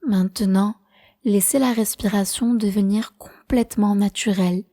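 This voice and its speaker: A woman leading a guided prenatal meditation as a teacher, speaking in a calm, measured, soothing way. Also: medium pitch.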